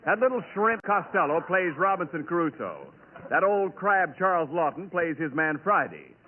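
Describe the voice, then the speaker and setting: A man's voice: sits high, expressive, moderate pace. A man with an American accent announcing scripted ads and story introductions in 1940s radio style. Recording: tinny, hissy 1940s radio broadcast.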